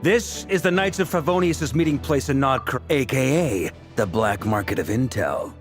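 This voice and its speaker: An actor playing a hearty, wry, seasoned knight commander in a video game cutscene, talking casually and with self-deprecation. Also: male voice, mid-range voice, lively intonation, normal speed, close to an everyday voice. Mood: easygoing. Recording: game music behind the voice.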